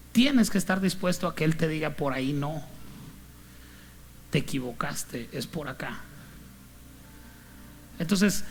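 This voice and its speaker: A man's voice: on the high side, a little hiss or hum. A man with a northern Mexican accent preaching as a pastor in a conversational, anecdotal style with punchy, clipped delivery.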